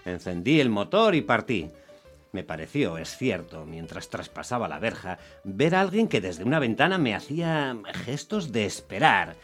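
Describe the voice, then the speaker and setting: Man playing an animated, exasperated taxi driver. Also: fairly low pitch, moderate pace, soft background music. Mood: weary, narrating. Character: exasperated, unlucky Roman taxi driver telling his story. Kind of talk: dramatised first-person radio narration.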